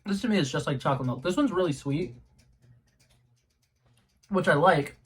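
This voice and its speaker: A young man with a fairly high voice chats his way through a taste test from his room at home. He rambles, restarts his sentences and fills the gaps with ums, in a loose and casual vlog manner. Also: expressive, normal speed, fairly bright tone, slightly rough. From New England. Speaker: YouTube host. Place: home room with a color-changing globe light.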